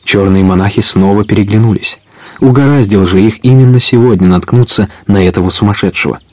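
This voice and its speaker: A man's voice: fairly low pitch, brisk, a little mellow, no room sound. A man narrating a story for a Russian audio play in a scripted storytelling style.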